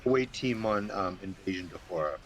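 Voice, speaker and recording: Lower-pitched man in casual chat. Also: animated, brisk, fairly bright tone, a little hiss or hum, rough recording. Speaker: gamer on a group gaming podcast.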